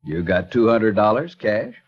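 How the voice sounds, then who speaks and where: A man's voice: on the low side, sing-song, measured pace, breathy edge, full character voice. Stubborn small-town lawman. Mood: stern. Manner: stubborn, stern, self-righteous. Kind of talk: 1940s radio acting. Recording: muffled old radio recording.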